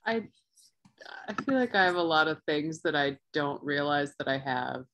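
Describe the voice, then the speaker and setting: Chatty woman player with a lively voice. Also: deep voice, normal speed, muffled recording. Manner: chatty, rambling, self-deprecating. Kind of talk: tabletop game chat over video call. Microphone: video call.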